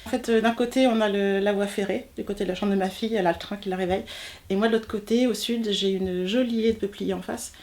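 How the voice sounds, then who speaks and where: A woman's voice: mid-range voice, steady tone, fast talker, darkish tone. A woman in her forties from Normandy, France, telling a personal story informally, often searching for words. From southern Manche, Normandy, France. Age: 40s. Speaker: interviewee, textile artist.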